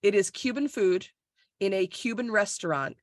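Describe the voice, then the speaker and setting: A woman's voice: mid-range voice, expressive, normal speed, dry recording, clean studio sound. A woman from Florida co-hosting a podcast, chatting casually and bluntly over a video call, swearing freely and laughing often. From Florida, USA. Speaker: podcast co-host. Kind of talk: casual chat. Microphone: recorded over a video call.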